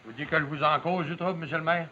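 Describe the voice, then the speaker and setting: An actor playing a big, proud log driver in a 1950s television drama, with a gravelly voice and a rustic Quebec French accent. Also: male voice, a little high, steady tone, fast, pushed and bright, slight background noise. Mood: deferential. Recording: dull 1950s television sound.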